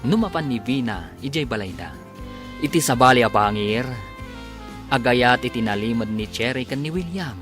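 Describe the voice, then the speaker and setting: A man with an Ilocano accent delivering scripted, dramatic narration as a radio drama announcer. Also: medium pitch. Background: prominent music.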